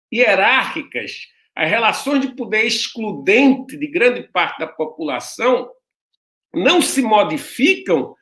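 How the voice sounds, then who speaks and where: A man from Recife with a strong Pernambuco accent, explaining a legal theory in a measured, lecturing way over a video call. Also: high-pitched, bright, forceful tone, echoey room. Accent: Pernambuco Portuguese, Recife. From Recife, Brazil. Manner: measured, lecturing, with hesitant restarts. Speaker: guest, legal scholar. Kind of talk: interview answer, explaining theory.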